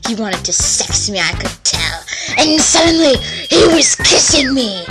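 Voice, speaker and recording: A woman's voice: high-pitched, sing-song, unhurried, over loud music. A woman host giving a dramatic, comic reading of fan fiction with theatrical, exaggerated emphasis. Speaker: host and reader.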